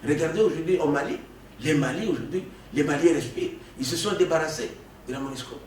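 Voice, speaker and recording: A man from the Congo giving an animated political interview, emphatic and prone to stammering restarts. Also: on the high side, fast. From Democratic Republic of the Congo. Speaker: political guest. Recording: echoey room, distant mic, faint noise.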